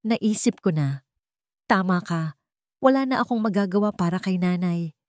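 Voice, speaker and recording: A woman's voice: lowish voice, lively intonation, moderate pace, a little mellow, no room sound, studio quality, lightly acted. A woman voicing a wronged wife who feigns meek, defeated submission in an audio story. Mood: subdued.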